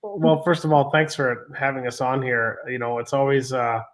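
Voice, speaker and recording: A man answers as a guest on a live video call. He is easygoing and casual, slipping in jokes. His voice sits at an ordinary male pitch. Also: fairly even intonation, normal speed, poor audio. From Canada. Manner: easygoing, joking, you-knows. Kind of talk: interview answers.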